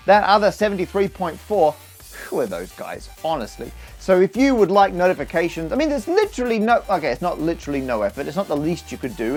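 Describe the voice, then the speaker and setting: Male maths host, fairly high voice, enthusiastic explainer, emphatic delivery, wry asides.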